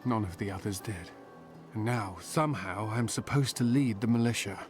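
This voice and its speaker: An actor playing a humble farmer turned reluctant militia captain in a fantasy game, earnest and self-doubting. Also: male voice, on the low side, moderate pace, darkish tone, soft background music, close to an everyday voice. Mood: humble, burdened. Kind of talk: fantasy game character acting.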